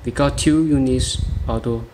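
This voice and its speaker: A man demonstrating a product hands-on, speaking in short, halting phrases, recorded indoors on a handheld device. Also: mid-range voice, steady tone, a little mellow, a little hiss or hum. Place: indoor room, beside a tabletop.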